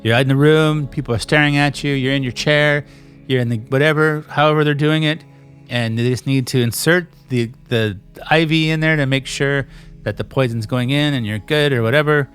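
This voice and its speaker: A man's voice: mid-range voice, a little fast, fairly bright tone, clear, resonant tone, soft background music. A man hosting a casual, joking chat with his elderly mother, laughing often and slipping into silly voices. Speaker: host interviewing his mother.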